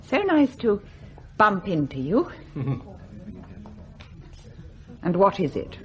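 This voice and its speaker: A woman's voice: mid-range voice, wildly swooping pitch, slow pace, dull audio, faint music behind. An actress playing a brittle, sardonic middle-aged society wife and mother in a radio drama, arch and drawling. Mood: effusive.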